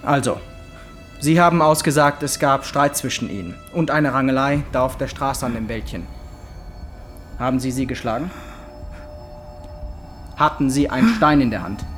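An actor as a male police detective in a radio crime drama, faint music behind him. He speaks at a middle pitch, matter-of-fact and official, blunt with some awkwardness. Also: moderate pace, long pauses, fairly bright tone, close to an everyday voice. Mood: probing.

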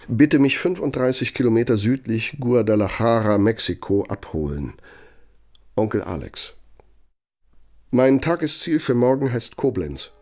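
An actor playing a pompous, bitter older former Nazi functionary dictating in a German radio play, sardonic and domineering. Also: male voice, fairly low pitch, a little mellow.

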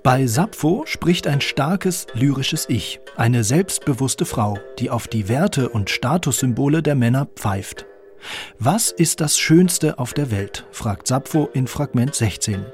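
A man's voice: mid-range voice, animated, slow, a little mellow, faint music behind. A man reading scripted narration as the reporter of a radio feature.

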